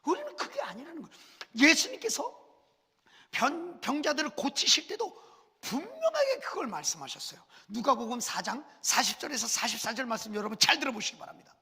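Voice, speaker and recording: Animated, gravelly-voiced preacher with a cold. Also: male voice, very high-pitched, fairly quick. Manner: preaching, asks the congregation questions. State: has a cold with a cough. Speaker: senior pastor. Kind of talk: sermon. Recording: long church reverb.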